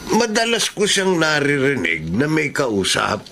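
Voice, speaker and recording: An actor playing an older, practical and quietly suspicious father with a gravelly voice and a Filipino accent in a radio drama. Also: a little high, wildly swooping pitch, measured pace, full character voice. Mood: hesitant, suspicious. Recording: faintly noisy radio drama track.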